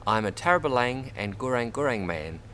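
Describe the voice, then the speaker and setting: An Australian man reads a children's story aloud in an expressive way, addressing young listeners as a storyteller. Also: mid-range voice, a little fast, a little hiss or hum. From Bundaberg, Queensland, Australia. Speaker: storybook reader. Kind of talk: read-aloud children's story, narration with word teaching.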